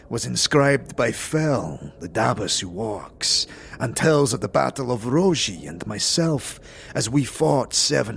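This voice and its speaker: A man's voice: fairly low pitch, creaky, a bit bright, exaggerated voice. A man voicing a proud, scarred alien soldier who speaks in grave, formal, archaic phrases in a fantasy roleplay. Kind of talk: tabletop-style fantasy roleplay. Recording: faint background noise.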